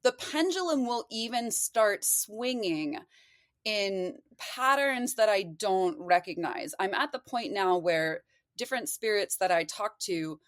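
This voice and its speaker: A woman's voice: mid-range voice, expressive, unhurried, dry recording, clean studio sound. A woman talking as a podcast guest, explaining things in a casual, digressive, conversational way. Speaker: podcast guest, occult practitioner.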